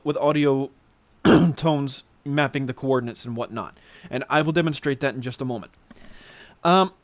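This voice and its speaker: A man with a fairly high voice walks listeners through a demonstration in a casual, halting manner, often clearing his throat. The recording is dry and somewhat dull, with little room sound. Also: no echo. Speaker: demonstrator, flight simulator enthusiast. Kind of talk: casual spoken tutorial demonstration.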